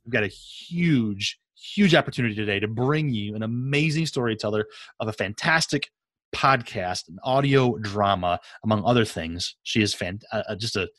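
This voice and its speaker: A man's voice: fairly low pitch, moderate pace, a bit bright, no echo. A man hosting a podcast in a conversational, enthusiastic and emphatic way. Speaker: podcast host and interviewer.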